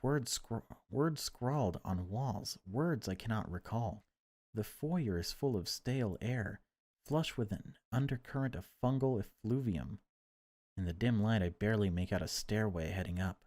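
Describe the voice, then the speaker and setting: A man reading horror game text aloud as dramatic narration, in deliberate, broken phrases. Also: lowish voice, darkish tone, dry recording. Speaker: gaming video creator reading a visual novel aloud.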